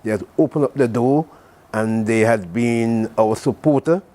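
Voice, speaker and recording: An older man speaks on a morning TV show. He is earnest and grateful and circles back over his thanks. His voice sits at an ordinary middle pitch. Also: measured pace, warm tone. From Saint Vincent. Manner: earnest, thankful, repetitive phrasing. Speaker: president of a community association, guest. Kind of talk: morning TV interview.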